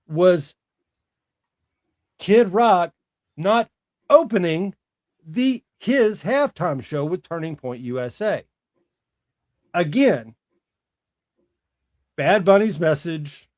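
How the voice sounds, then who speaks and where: A man's voice: high voice, big pitch swings, slow, long pauses, darkish tone, dry recording, clean studio sound. A man in his forties hosting a sports talk show, giving casual, opinionated commentary in an emphatic way with rhetorical questions. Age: 40s. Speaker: sports talk podcast host.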